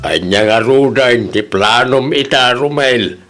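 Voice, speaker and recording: A man's voice: medium pitch, takes it slowly, music in the background, close to an everyday voice. An actor with an Ilocano accent playing an earnest, respectful young jobless man eager to work, in a radio drama.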